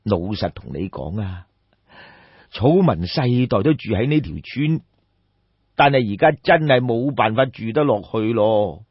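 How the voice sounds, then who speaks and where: A man's voice: mid-range voice, slightly rough, dry recording, heavily acted. A man voicing a sighing old peasant, dull recording. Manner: sighing, plaintive, drawn-out emphatic phrases. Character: old destitute peasant man, sorrowful and respectful. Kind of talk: Cantonese storytelling audiobook. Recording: dull, muffled lo-fi audio.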